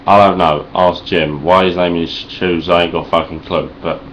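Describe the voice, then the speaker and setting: Deep-voiced sweary Cockney Essex man. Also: normal speed, a little hiss or hum, rough recording. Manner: deadpan, sarcastic, rambling, heavy swearing. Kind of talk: sweary deadpan vlog response.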